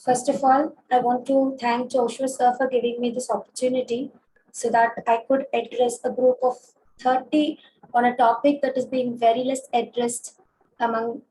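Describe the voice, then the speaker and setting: A woman with an Indian English accent lectures over a video call, her high voice hoarse from a throat infection. She explains step by step with frequent fillers and restarts. A faint hiss sits under the call. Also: little pitch movement, moderate pace, mellow, poor audio. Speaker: consultant physiotherapist. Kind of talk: webinar lecture.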